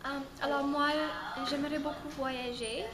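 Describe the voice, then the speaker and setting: A woman's voice: high-pitched, flat delivery, normal speed, roomy sound, crowd noise. A young woman gives a hesitant, unscripted testimonial, using many fillers. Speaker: former immersion student.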